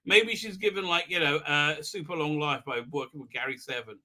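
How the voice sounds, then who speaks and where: Sharp, high-voiced sarcastic man. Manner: rambling, halting, repeats words, sarcastic; exasperated, animated outbursts. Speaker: host of a fan review livestream. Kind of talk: casual mocking episode recap.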